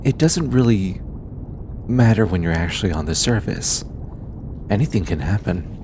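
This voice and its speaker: A man playing a gentle, earnest alien scientist in an intimate audio drama, bookish and easily flustered, with a hesitant, softly stammering delivery. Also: normal speed. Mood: earnest, explanatory. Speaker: voice actor. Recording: steady low rumbling background noise.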